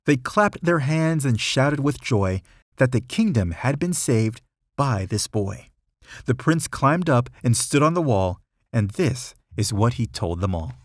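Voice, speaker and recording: A man reading a rhyming children's story aloud, in a measured, rhythmic storytelling way with clear emphasis. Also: mid-range voice, expressive, no room sound, studio quality. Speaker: storybook reader.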